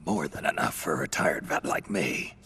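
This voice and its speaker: An actor voicing a weary old veteran soldier in a video game, with a gravelly, breathy growl and terse, deadpan delivery. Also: male voice, rumbling low, moderate pace, a little hiss or hum, heavily acted. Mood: guarded, gruff. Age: older. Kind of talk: dramatic video game cutscene acting.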